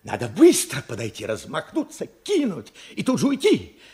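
An old steelworker father in a Soviet radio play. His voice is very husky and gravelly, swinging widely in pitch. He tells of his losses wearily, in short, halting phrases. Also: wildly swooping pitch, dark tone, full character voice. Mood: impassioned. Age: older. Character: weary old widowed steelworker father recalling war losses. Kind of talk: Soviet radio drama acting.

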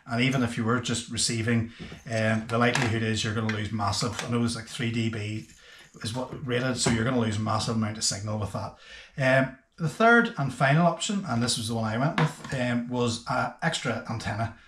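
A man, hosting a casual boating explainer to camera in a hesitant, unscripted way with frequent pauses. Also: mid-range voice, a bit bright, slight background noise. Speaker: boating video host. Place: small indoor workshop room with bare walls. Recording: echoey bare room.

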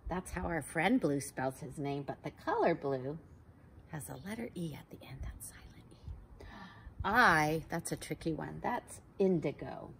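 A woman in her forties reading a picture book aloud to young children outdoors, slow and sing-song, sounding out letters and gasping for effect. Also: low-pitched, expressive, creaky, slight background noise. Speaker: storytime reader for children. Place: backyard patio outdoors by a wooden fence.

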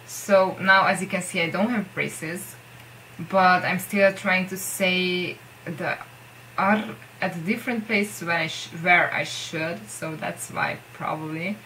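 Young woman, Hungarian accent, English as second language, lowish voice, rambling bedroom vlog, camera mic. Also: fairly even intonation, slow pace, sharp tone, a little hiss or hum, rough recording. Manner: rambling, lots of filler likes, self-deprecating. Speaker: vlogger. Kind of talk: casual talk to camera. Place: bedroom with posters.

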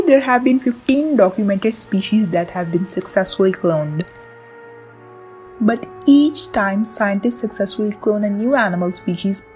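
A woman hosting a science channel, reading a scripted explainer in a lively, emphatic way. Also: medium pitch. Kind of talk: scripted educational narration. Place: voice-over with soft background music.

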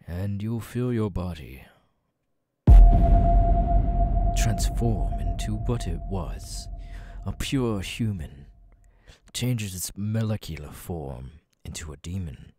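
A man voicing a menacing, haughty, sadistic demon king in an ASMR audio roleplay, soft-spoken and condescending. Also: sits low, noisy background. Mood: smug, commanding. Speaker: voice actor.

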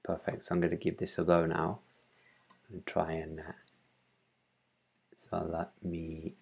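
Low, gravelly man, flat delivery. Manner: halting, thinking aloud while working. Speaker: tutorial presenter. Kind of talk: informal screencast tutorial, explaining step by step. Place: small room at home, indoors.

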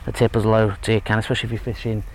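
A man in his thirties giving a relaxed, hesitant fishing tutorial outdoors by a lake, with lots of fillers and restarts. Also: lowish voice, rapid-fire. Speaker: angling instructor. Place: outdoors at a lakeside fishing spot. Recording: faint outdoor background noise. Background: faint outdoor ambience.

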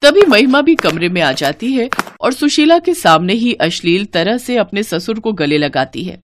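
A woman's voice: mid-range voice, big pitch swings, normal speed, noisy background. A woman narrating a scripted cartoon story in a dramatic storytelling style.

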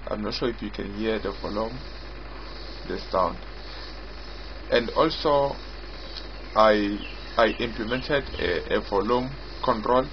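A man giving an informal screen-recorded software demo as its developer, in a halting way, often repeating and rephrasing. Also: fairly low pitch, noisy background. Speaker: software developer demonstrating own app. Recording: dull lo-fi mic with prominent music.

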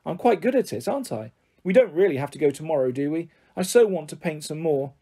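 A woman's voice: low voice, expressive, fast, dark tone, husky, full character voice. A woman voicing a stubborn, pleading, emotional young girl in a storybook. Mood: eager.

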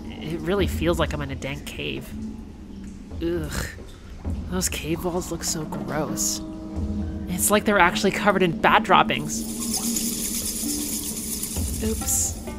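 A performer voicing a cheerful, affectionate girlfriend on a theme park date, speaking gently and unhurriedly in a one-sided ASMR roleplay. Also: medium pitch, gravelly. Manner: gentle, unhurried, softly conversational.

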